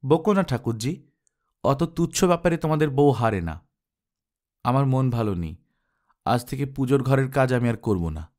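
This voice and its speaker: A man's voice: medium pitch, animated, moderate pace, pauses often, a little mellow, close to an everyday voice. A man voicing a wounded, strong-willed young daughter-in-law in a story reading, speaking bluntly and resolutely. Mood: defiant, resolute.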